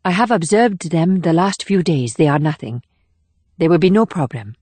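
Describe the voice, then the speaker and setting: A woman playing a cool, controlled female assassin with a formal, measured delivery in an audiobook drama. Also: lowish voice, warm tone, no room sound, lightly acted. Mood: cool, confident. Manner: measured, formal, clipped short statements. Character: cool, controlled, steely female North Korean assassin leading a mission.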